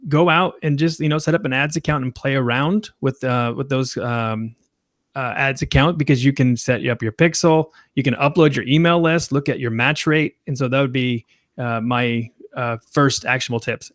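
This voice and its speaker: A man's voice: medium pitch, a bit bright, dry recording, clean studio sound. A man speaking as a podcast guest, enthusiastic and full of fillers as he explains. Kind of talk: casual explanation.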